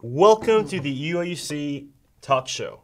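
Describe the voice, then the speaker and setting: A man's voice: fairly high pitch, lively intonation, a little slow, darkish tone. A young man hosting a student talk show, reading a scripted welcome and then asking casual questions. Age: young adult. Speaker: host. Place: studio with red curtain backdrop.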